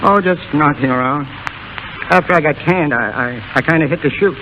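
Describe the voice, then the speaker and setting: A man's voice: fairly high pitch, brisk, lo-fi sound, close to an everyday voice. An actor playing a down-and-out, defensive showman who pleads in faltering slang, in 1930s radio style. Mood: dejected, pleading. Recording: hissy vintage 1930s radio broadcast.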